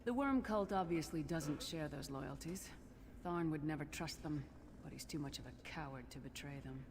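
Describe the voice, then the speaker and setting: An actor playing a blunt, wary male ally in a video game, speaking in a measured way. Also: on the high side, big-room sound, background noise, natural voice. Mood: scornful. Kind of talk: video game character dialogue.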